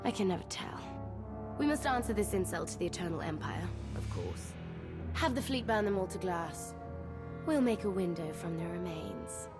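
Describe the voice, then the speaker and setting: A woman's voice: lowish voice, expressive, moderate pace, music in the background, close to an everyday voice. A woman playing a gleefully cruel young imperial villain in a video game, playful and mocking. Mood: mocking glee. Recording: loud game score behind.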